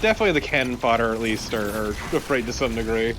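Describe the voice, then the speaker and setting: A man's voice: mid-range voice, animated, fast talker. Man bantering over very noisy gameplay. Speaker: let's play co-commentator. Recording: loud game noise behind voice.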